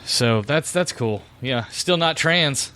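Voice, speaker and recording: Male podcast host, sarcastic, swears a lot, laughs mid-sentence, mid-pitched voice. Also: animated, slow pace, pushed and bright. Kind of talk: casual profane podcast chat.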